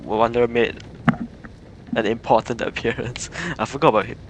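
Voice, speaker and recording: A man's voice: medium pitch, little pitch movement, normal speed, heavy background noise. A man giving casual, rambling and giggly live commentary as a game streamer. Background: game music.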